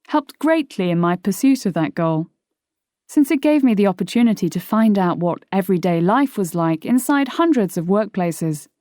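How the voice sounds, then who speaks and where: A woman reading her own nonfiction audiobook in a measured, articulate way. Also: mid-range voice, mellow, dry recording, studio quality. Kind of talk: scripted audiobook narration. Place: dry studio.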